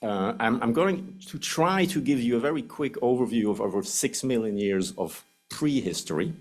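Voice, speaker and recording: A middle-aged man speaking English with a Swiss accent gives a public lecture at an ordinary mid-range pitch. He explains patiently and slips in playful jokes along the way. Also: lively intonation, moderate pace. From Switzerland. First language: not English.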